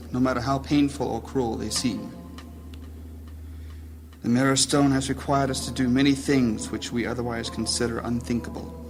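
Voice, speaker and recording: An actor playing a grave, worried grey mage who leads a guild in a fantasy audio drama, formal and measured in speech. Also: male voice, mid-range voice, lightly acted. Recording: music behind the voice.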